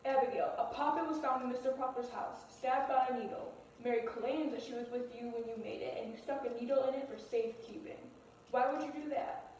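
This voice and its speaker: A woman's voice: high voice, steady tone, a little fast, a little mellow, natural voice. A woman playing a stern male judge who questions witnesses formally in an amateur puppet-show drama. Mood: questioning, stern. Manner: formal, interrogating. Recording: roomy echo, distant mic, faint noise.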